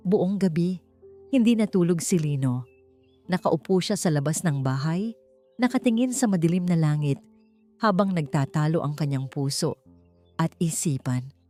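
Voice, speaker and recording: A woman's voice: deep voice, dark tone. A woman with a Filipino accent reading a dramatic scripted story as an audiobook narrator.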